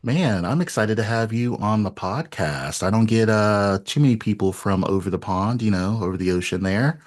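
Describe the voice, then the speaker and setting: A man's voice: fairly low pitch, moderate pace. A man hosting a podcast, talking casually and loosely with lots of "you know" fillers. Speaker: podcast host. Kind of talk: casual interview chat.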